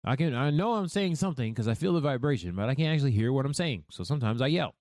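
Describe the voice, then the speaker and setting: Animated podcast host man, dry close sound. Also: mid-range voice, no room sound. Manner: animated, loose and profane, speaks fast. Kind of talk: casual commentary.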